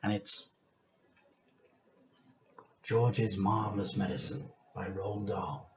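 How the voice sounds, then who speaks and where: A man reading a children's storybook aloud like a teacher, with expressive, deliberate and emphatic delivery. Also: lowish voice, highs cut off, a little hiss or hum.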